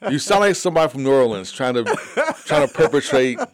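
A man's voice: medium pitch, lively intonation, fast talker, dry recording, studio quality. A man on a sports betting panel, blunt and teasing, laughing through his jokes. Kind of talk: casual sports talk.

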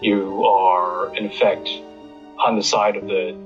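An American man giving a reflective interview, halting as he searches for words. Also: deep voice, little pitch movement. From United States. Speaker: interviewee, independent bookstore owner. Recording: slightly muffled, music behind.

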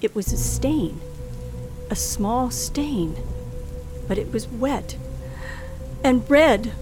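A woman's voice: on the high side, expressive, extremely slow, over music, full character voice. An actress playing a frightened, tense woman traveler with a dramatic, hesitant delivery in an old radio thriller. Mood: tense narration. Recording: faintly noisy old radio recording.

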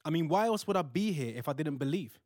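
A man's voice: on the high side, expressive, quick pace, a little mellow, dry recording, clean studio sound. A man playing a devout young husband-to-be in a modern audio drama, earnest and colloquial. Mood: earnest persuasion. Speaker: actor in audio play.

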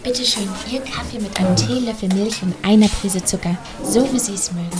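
A woman's voice: mid-range voice, brisk, music in the background, close to an everyday voice. A woman in an audio drama playing a naive, lonely hotel receptionist who rambles earnestly in over-literal detail. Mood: attentive. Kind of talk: German audio drama acting.

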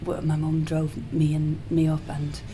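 Soft-spoken woman, hiss behind. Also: deep voice, completely flat delivery, moderate pace. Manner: soft-spoken, hesitant, many restarts and fillers. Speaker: guest singer-songwriter. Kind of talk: casual interview chat. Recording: noticeable background hiss.

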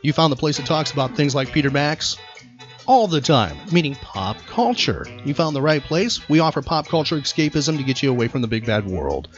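A man's voice: medium pitch, sing-song, fairly quick, faint music behind. A man hosting a casual trivia show in an upbeat, playful way. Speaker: radio show host. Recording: muffled lo-fi audio.

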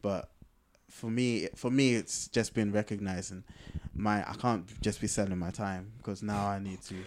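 A man with a fairly low voice talks casually as a podcast co-host. He explains himself reflectively, stopping and rephrasing as he works through his point. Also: fairly even intonation, moderate pace, a little hiss or hum. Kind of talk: casual conversational podcast chat.

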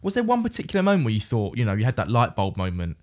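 A man's voice: medium pitch, wildly swooping pitch, very fast, a little mellow. A man hosting a podcast, asking earnest, long and rambling questions of his guest. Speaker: podcast host. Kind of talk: interview questions.

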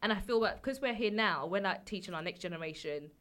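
A young woman in a casual group chat about a film, animated, swearing now and then and laughing often. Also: mid-range voice, fast.

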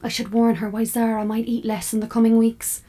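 An actress in an audio drama playing an opinionated, proud, fussy smart fridge that likes to nurture and persuade. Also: female voice, fairly high pitch, little pitch movement, a little fast, warm tone, lightly acted. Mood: haughty.

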